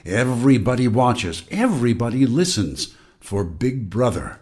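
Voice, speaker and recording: A man's voice: mid-range voice, big pitch swings, a little slow, darkish tone. An actor playing a man's urgent, warning inner voice in a radio drama, giving clipped, bossy commands. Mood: urgent, scolding. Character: Winston's inner voice, urgent, warning, needling male conscience.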